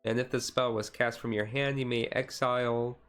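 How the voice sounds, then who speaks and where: A man giving a casual, halting ASMR-style commentary as a streamer, explaining things in a rambling way. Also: mid-range voice, steady tone, a bit bright, soft background music. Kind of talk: casual card deck review commentary.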